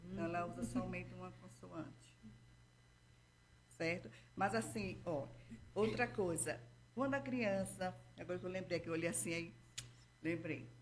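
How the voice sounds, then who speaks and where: A woman's voice: on the low side, moderate pace, long pauses. A woman speaking Brazilian Portuguese, a therapist explaining patiently and didactically, checking in often with her listener. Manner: didactic, checks in with frequent "tá?" and "entendeu?". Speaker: guest therapist. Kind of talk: explanatory interview talk.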